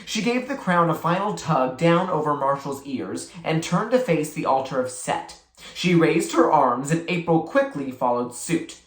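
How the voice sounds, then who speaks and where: A reader giving an expressive, dramatic read-aloud of a children's story. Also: mid-range voice, unhurried. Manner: animated, dramatic. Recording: roomy, echoey sound.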